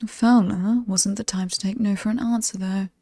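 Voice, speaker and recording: A woman with a British accent playing an alluring, possibly demonic lady of a dark estate, breathy and often whispering, teasing and coolly menacing in a horror ASMR roleplay. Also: mid-range voice, fairly even intonation, dry recording, close to an everyday voice. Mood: ominous storytelling. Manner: slow, teasing, coolly amused and aristocratic. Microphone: close, dry microphone.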